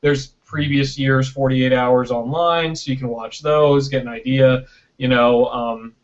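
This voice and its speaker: A man's voice: medium pitch, steady tone, measured pace. An American man speaking casually as an interview guest on an online video call, conversational and often checking in with "right?". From Michigan, United States. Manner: conversational, peppers talk with "you know" and "right?", acts out imagined quotes. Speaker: interview guest, film producer and director. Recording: dull lo-fi internet call audio.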